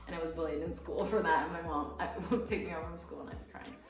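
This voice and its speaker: A woman's voice: deep voice, fast talker, gravelly. A young American woman, raised speaking Romanian, chatting and laughing through fan questions in a vlog. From United States. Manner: bubbly, chatty, laughs often. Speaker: fitness creator. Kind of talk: casual Q&A vlog. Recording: echoey room with music from a distance.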